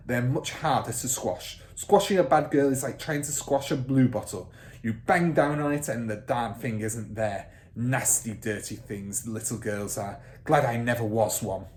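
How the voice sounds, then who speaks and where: A man's voice: mid-range voice, a little mellow, lightly acted. A man voicing a fierce, bullying middle-aged headmistress in a children's storybook, barking and snorting with scorn. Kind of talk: children's storybook character reading.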